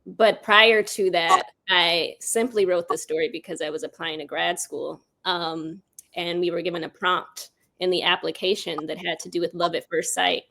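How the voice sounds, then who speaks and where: A woman's voice: on the low side, fairly even intonation, moderate pace, gravelly, sharp tone. A woman speaking as an interview guest, reflective and articulate, explaining herself in long, considered thoughts. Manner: articulate, considered, elaborates at length. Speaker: writer-director interviewed as guest.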